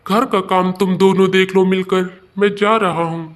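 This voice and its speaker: A man's voice: sits high, flat delivery, moderate pace, lightly acted. A man playing a weary, exasperated young husband in a Hindi cartoon family story. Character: exasperated young husband caught between mother and wife.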